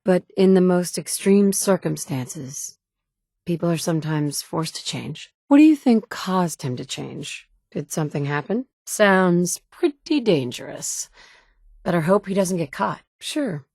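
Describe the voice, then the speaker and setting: A woman's voice: fairly low pitch, animated, measured pace, gravelly, a little mellow, no echo, full character voice. An actress in her thirties voicing a game's player heroine, a determined mother searching for her son, with dry wit and a blunt, curt edge. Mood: determined, wry. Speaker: player character. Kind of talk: game dialogue lines. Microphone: close studio mic.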